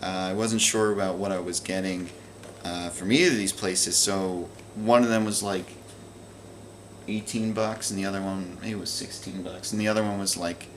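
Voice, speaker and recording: Man, low voice, casual unscripted show-and-tell, loose and rambling, faint background hiss. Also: fairly even intonation, moderate pace. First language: English. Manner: rambling, many fillers and restarts. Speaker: video game collector vlogger.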